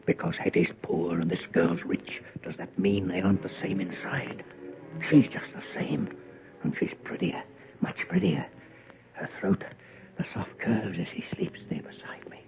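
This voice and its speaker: An obsessed lovesick young man, low-pitched. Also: animated, measured pace, vocal fry, full character voice. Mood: feverish longing. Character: obsessed, lovesick young man murmuring over a sleeping girl. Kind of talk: old-time radio drama acting. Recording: dull, muffled old radio recording.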